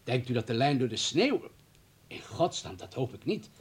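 Flustered deferential hospital boss in a radio play, wordy, husky, pitch all over. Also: male voice, sing-song, moderate pace. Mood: placating. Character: fussy, deferential male hospital administrator, eager to justify himself.

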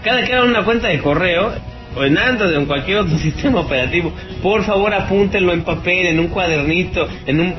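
A man with a Mexican accent hosting a radio show, giving an informal tech-advice monologue in a colloquial, mocking, teasing manner. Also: on the high side. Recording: dull lo-fi radio stream over loud music. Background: music.

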